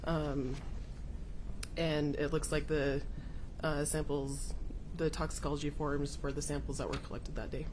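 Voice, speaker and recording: A woman giving courtroom testimony as a witness, hoarse from a cold, answering in a measured, factual way with some hesitant fillers. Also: low voice, flat delivery, noisy background.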